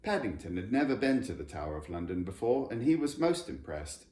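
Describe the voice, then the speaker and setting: A man narrating a children's story in an expressive, scripted storytelling style. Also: on the low side, a little fast. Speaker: storybook reader. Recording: roomy echo, background noise.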